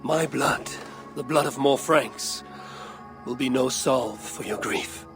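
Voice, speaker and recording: An actor playing a steadfast Viking warrior in a video game, counseling peace in a measured, earnest and solemn manner. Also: male voice, mid-range voice, over music. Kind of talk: dramatic video game acting.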